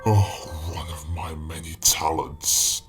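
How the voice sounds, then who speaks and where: A man's voice: extremely low pitch, steady tone, slow, soft background music. A man voicing a menacing, smug robotic tyrant in a fan-dubbed cartoon, with a theatrical, mock-polite manner. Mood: brooding, boastful. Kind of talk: fan-dub cartoon villain acting.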